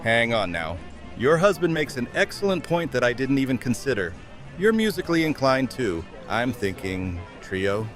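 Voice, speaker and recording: A charming, enthusiastic character voiced by a man with a lively, swooping delivery. He sounds persuasive, in an audio drama over faint music. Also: mid-range voice, moderate pace. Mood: eager. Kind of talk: fan audio drama acting.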